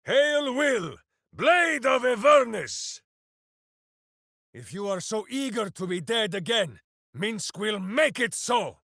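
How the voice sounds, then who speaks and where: A man's voice: sits high, big pitch swings, slow, vocal fry, bright, forceful tone, no room sound, clean studio sound, full character voice. A man playing a dim, big-hearted warrior hero in a video game, boisterous and theatrical, speaking of himself in the third person. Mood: heroic, boisterous. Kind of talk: video game character voice lines.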